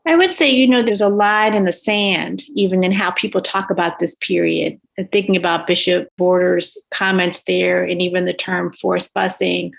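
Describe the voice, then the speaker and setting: A woman's voice: fairly low pitch, steady tone, normal speed, vocal fry, few pauses. A woman speaking earnestly and reflectively as a panelist in a discussion.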